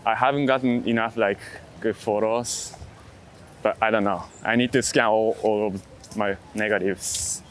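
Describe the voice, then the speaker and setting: A man's voice: on the low side, fairly even intonation, a little slow, a little hiss or hum. A man being interviewed casually about his work, speaking reflectively in short, hesitant fragments with non-native English phrasing. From outside the US. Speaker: street photographer being interviewed.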